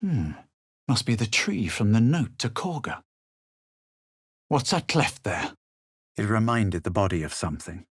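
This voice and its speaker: Husky, haughty fantasy adventurer. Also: male voice, on the low side, big pitch swings, no room sound, heavily acted. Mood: musing. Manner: superior, dry, measured. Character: haughty male adventurer, weary and sardonic fantasy hero. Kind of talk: video game character lines and narration.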